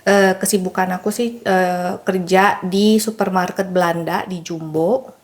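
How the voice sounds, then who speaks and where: A woman's voice: fairly low pitch, steady tone, a little slow. An Indonesian woman co-hosting a podcast, introducing herself in casual chat. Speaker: podcast co-host.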